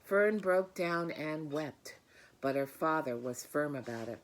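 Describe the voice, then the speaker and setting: A woman's voice: deep voice, lively intonation, unhurried. A woman reading a children's book aloud, speaking directly to her listeners with explanatory asides. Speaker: storybook reader.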